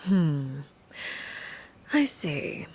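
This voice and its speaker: A woman voicing a cold, composed female leader in a video game, speaking in a measured, calm and quietly menacing way. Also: low-pitched, soft background music, heavily acted. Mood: cool, measured. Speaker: game character.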